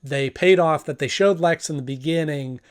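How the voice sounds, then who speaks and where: A man's voice: on the high side, moderate pace, smooth. A man hosting a casual review, commenting in a conversational, thinking-aloud way with emphasis. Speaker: host, cartoon reviewer.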